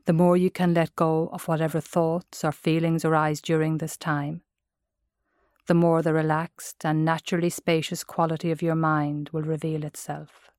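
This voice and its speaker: Irish lady doing a guided meditation, low voice, gentle and measured, really dry close sound. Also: little pitch movement, dry recording. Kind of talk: guided mindfulness meditation narration.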